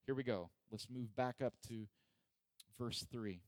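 A man's voice: medium pitch, a little mellow, dry recording, clean studio sound. Pastor, a man preaching. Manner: conversational, joking asides to the congregation; slow, deliberate scripture reading. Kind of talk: sermon with scripture reading.

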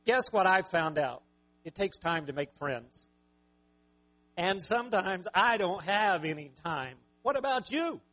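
A man preaching a sermon with a raspy voice, talking conversationally and joking with the congregation. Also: high voice, expressive, unhurried, sharp tone, faint music behind. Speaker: pastor.

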